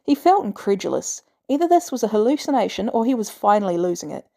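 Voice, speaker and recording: A woman's voice: medium pitch, sing-song, fast talker, creaky, mellow. A woman reading scripted fan fiction aloud as a narrator. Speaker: podfic narrator.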